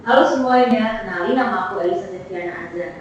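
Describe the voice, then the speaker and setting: A woman's voice: medium pitch, fast talker, highs cut off, a little hiss or hum. A woman in her twenties co-hosting a casual student podcast, chatty and friendly with "eh" fillers. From Serang, Banten. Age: 20s. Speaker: podcast co-host. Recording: distant echoey room.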